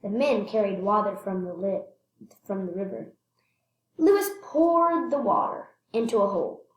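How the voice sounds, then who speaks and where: A woman reading a children's picture book aloud expressively, stressing words and pausing to point things out. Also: medium pitch, lively intonation, reverberant, rough recording. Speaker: read-aloud storyteller.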